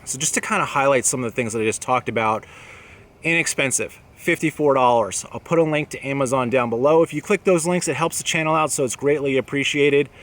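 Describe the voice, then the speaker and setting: A man's voice: mid-range voice, a little fast, a bit bright. A man talking casually and plainly to camera as host of an outdoor gear channel, recorded outdoors. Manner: plain-spoken, conversational. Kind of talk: casual product talk to camera. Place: outdoors among apartment buildings and trees. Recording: noticeable background noise, outdoor ambience.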